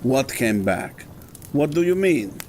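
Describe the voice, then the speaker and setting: A man's voice: medium pitch, sing-song, slow pace, a little mellow, a little hiss or hum. An actor playing a stern, haughty male head of an academy in an audio drama, speaking formally. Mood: impatient. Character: pompous, evasive, imperious scholar in charge of an academy.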